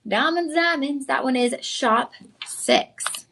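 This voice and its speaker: Young woman, shopping host, bubbly sales patter, slightly high voice. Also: takes it slowly, fairly bright tone. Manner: chatty, effusive, addresses viewers as y'all. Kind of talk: live-stream sales pitch.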